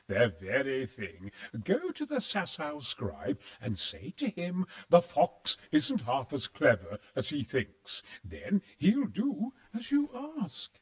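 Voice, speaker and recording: An actor playing a crafty, conspiratorial schemer in a video game cutscene, with a sly, hedging, expressive manner. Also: male voice, mid-range voice, slow. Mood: secretive, urgent. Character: crafty, conspiratorial male schemer sheltering in a monastery.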